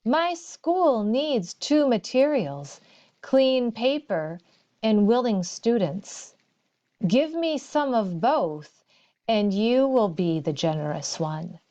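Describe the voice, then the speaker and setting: A woman voicing a wise, courteous old male teacher in a storybook, speaking in a formal, measured way. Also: on the high side, sing-song, warm tone, highs cut off. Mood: generous, brisk.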